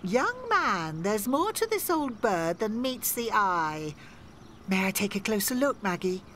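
A spirited older woman in a radio soap. She is jaunty and well-spoken at a medium pitch, with faint outdoor ambience behind her. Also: very animated, a little slow, a bit bright, a little hiss or hum.

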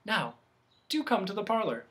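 A storyteller voicing a gentle, wistful female guardian of sounds who talks softly and at length in a storybook tale. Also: mid-range voice, very animated, normal speed, pitched above the performer's own voice. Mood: gently welcoming. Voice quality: soft, gentle. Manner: talkative, sighing, savoring each phrase.

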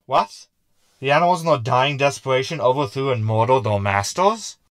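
A man's voice: mid-range voice, expressive, moderate pace, dry recording, studio quality, lightly acted. An actor playing a sardonic, indignant male crew member in a science fiction audio sitcom.

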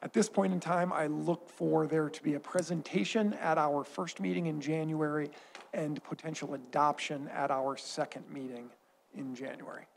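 Guy reading out a board report, gravelly, kinda high voice, lots of uhs, desk mic in a boardroom. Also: fairly even intonation, slight background noise, low-quality recording. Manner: measured, formal, many uh fillers. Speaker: school superintendent. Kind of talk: formal meeting report. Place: boardroom at a long table. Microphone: desk microphone.

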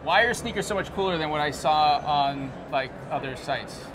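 A man in his thirties hosting a casual interview, asking questions in an animated, conversational way. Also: a little high, normal speed. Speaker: interviewer, host. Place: sneaker shop showroom, indoors. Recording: clear background noise.

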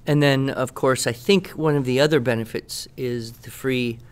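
A middle-aged man with an American accent talks as a podcast expert. His voice sits at an ordinary male pitch. He speaks conversationally, with enthusiasm and emphasis, like a teacher promoting a useful tool. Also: steady tone, moderate pace, clear, resonant tone. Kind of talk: conversational product talk on a podcast.

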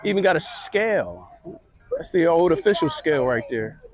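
A man's voice: a little high, moderate pace, husky, no echo, faint music behind. Chatty man strolling an outdoor market. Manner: relaxed, chatty, pointing things out. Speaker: vlogger. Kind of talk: casual walking commentary. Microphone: handheld phone camera.

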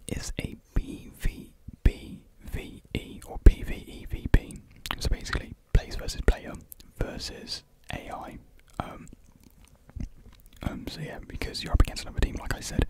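A British man in his twenties whispering a casual ASMR ramble softly, close to a desktop microphone in a small room. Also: moderate pace. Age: 20s. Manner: soft unvoiced whispering. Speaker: ASMR video creator. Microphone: close desktop USB microphone.

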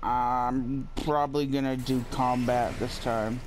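Slow-talking, laid-back male gamer. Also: mid-range voice, steady tone, slight background noise. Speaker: gamer recording a playthrough. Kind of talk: casual game commentary.

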